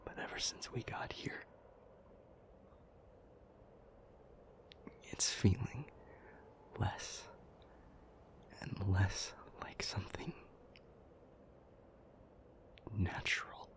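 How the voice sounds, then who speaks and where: Low, whispery, brooding male demon voiced by a man. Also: very animated, a bit bright, heavily acted. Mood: uneasy, confiding. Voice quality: breathy, half-whispered. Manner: slow, deliberate, halting phrases. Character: troubled, powerful male demon confiding gravely in a lover. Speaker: audio roleplay performer. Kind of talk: intimate audio roleplay acting.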